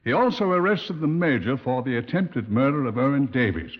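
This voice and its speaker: An actor playing an assured police superintendent who hosts a 1950s radio crime drama. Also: male voice, medium pitch, lively intonation, fairly quick, close to an everyday voice. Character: assured police superintendent and museum curator introducing cases. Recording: dull vintage 1950s radio recording.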